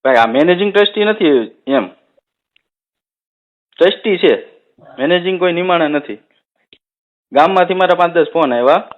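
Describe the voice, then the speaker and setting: Male, rural Saurashtra Gujarati accent, slightly high voice, phone-band sound, explanatory and checking in as he talks. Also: animated, moderate pace, pauses often. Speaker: village head on a phone call. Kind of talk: casual phone conversation. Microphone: telephone. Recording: tinny narrowband phone call recording.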